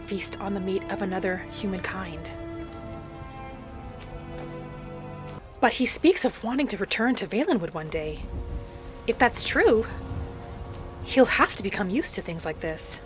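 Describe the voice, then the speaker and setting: Woman doing a calm earnest elf wanderer, storybook-formal game roleplay narration, music clearly behind her. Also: mid-range voice, natural voice. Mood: calm, musing. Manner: measured, formal storybook phrasing. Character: Venra, earnest female wood elf wanderer, serene and devout. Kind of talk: in-character roleplay narration of a fantasy video game.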